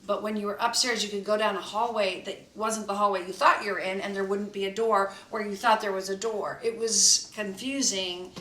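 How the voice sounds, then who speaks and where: A woman cast member recalling things thoughtfully and slowly, with restarts. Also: mid-range voice, fairly even intonation, fairly bright tone. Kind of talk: reflective guest chat. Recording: echoey room, distant mic.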